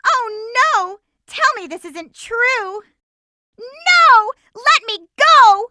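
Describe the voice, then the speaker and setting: A woman voicing an energetic, determined young girl heroine in a video game, with a perky, emphatic cartoon delivery. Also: extremely high pitch, very slow, no room sound, clean studio sound, heavily acted. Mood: alarmed. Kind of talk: video game voice acting, tips and battle shouts.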